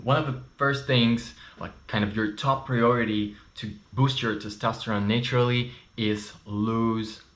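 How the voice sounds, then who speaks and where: A man's voice: mid-range voice, fairly even intonation, a little slow, hardly any pauses, fairly bright tone. A man in his thirties with a Russian and Ukrainian accent, a non-native English speaker telling his personal story to camera in a candid, chatty way with frequent fillers. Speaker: vlogger. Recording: roomy echo.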